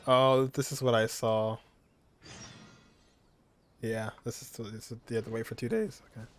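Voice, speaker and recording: A man's voice: medium pitch, little pitch movement, unhurried. A man giving casual gameplay commentary, offhand and half muttering to himself. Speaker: gaming streamer. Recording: faint game music behind.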